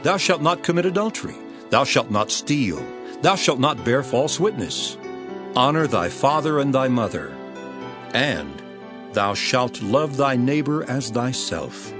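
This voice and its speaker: Male grave scripture teacher, animated mid-range delivery, solemn emphatic oratory, music underneath. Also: medium pitch, unhurried. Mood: earnest instruction. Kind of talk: dramatized scripture reading.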